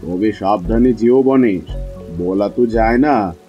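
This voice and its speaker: Cartoon headman, acted by a man: grave, deliberate, slow-paced, lo-fi sound with light music behind. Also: lowish voice. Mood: cautionary. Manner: deliberate, avuncular, checks listener understands. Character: grave, concerned village headman. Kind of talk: Bengali horror cartoon dialogue.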